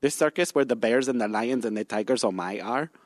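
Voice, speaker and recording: A man's voice: medium pitch, a little fast, dry recording, natural voice. A man playing a flamboyant comic character with a foreign accent and muddled English, playful and mock-indignant in an improvised podcast interview. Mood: chatty, whimsical.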